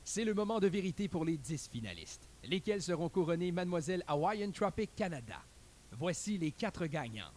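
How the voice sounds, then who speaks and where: A man reading scripted documentary narration, announcing names in a measured way. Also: a little high, very animated, slight background noise. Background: loud music.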